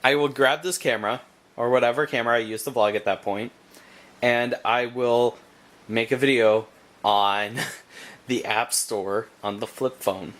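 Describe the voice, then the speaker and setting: A man's voice: medium pitch, steady tone, unhurried, a bit bright. A young man vlogging casually into a handheld camera, rambling and losing his train of thought. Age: young adult. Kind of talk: casual chat.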